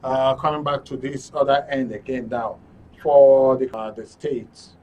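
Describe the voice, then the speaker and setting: A man's voice: mid-range voice, fairly even intonation, measured pace, lo-fi sound. A Nigerian man hosting a live call-in programme, speaking courteously with frequent hesitations. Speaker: radio and TV show host. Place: broadcast studio. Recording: distant mic, noticeable background noise.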